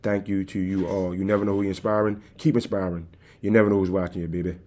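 Male podcast host, calm and easygoing, mellow low voice, desktop mic. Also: fast talker. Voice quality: calm, soothing voice. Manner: easygoing, addresses listeners directly. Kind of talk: casual solo podcast talk. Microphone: desktop USB microphone.

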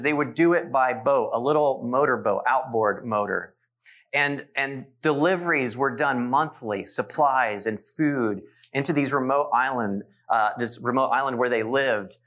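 A man in his forties preaching in church, telling stories with asides to the congregation. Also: medium pitch. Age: 40s. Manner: warm storytelling with asides to the congregation. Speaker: pastor. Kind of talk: sermon.

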